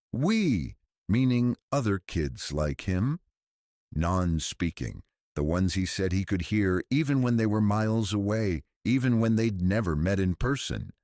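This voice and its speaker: A man narrating a science fiction thriller audiobook in a measured, deliberate storytelling style. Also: extremely low pitch, darkish tone, no echo, clean studio sound.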